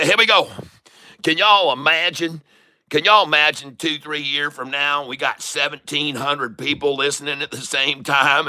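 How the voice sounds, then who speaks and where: A man's voice: mid-range voice, bright, forceful tone. A man with an exaggerated folksy Southern US country drawl, talking cocky and boastful as he hosts a casual trading livestream, swearing freely. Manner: chuckles often, swears casually. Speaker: livestream stock trading host. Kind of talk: casual livestream chat, hype trading commentary.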